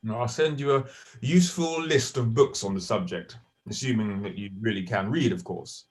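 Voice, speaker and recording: A man's voice: lowish voice, normal speed, a little mellow, close to an everyday voice. An actor playing an articulate, condescending young man who speaks precisely and dryly, in an audio drama. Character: posh, articulate young man, dry and superior. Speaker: actor in a stage play.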